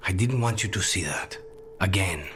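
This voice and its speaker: An actor playing a troubled, proud professional in a video game, weary and self-reproachful, speaking in subdued, terse lines. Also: male voice, sits low, animated, moderate pace, fairly bright tone, a little hiss or hum. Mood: ashamed.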